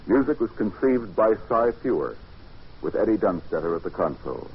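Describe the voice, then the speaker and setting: A man announcing on 1940s radio, reading formally and in measured phrases. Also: low-pitched, big pitch swings, vocal fry, noisy background. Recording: dull vintage radio recording.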